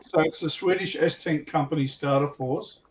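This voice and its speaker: A man co-hosting a casual livestream, talking in a halting, thinking-aloud way. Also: fairly high pitch, far from the mic, a little hiss or hum, low-quality recording. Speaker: livestream co-host. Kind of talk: casual hobby chat.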